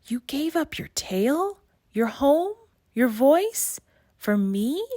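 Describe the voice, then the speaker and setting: A woman reading the part of an earnest, excitable young girl who is a loyal friend, in a storybook. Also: high-pitched, slow pace, no echo, clean studio sound, pitched above the performer's own voice, heavily acted. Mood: amazed.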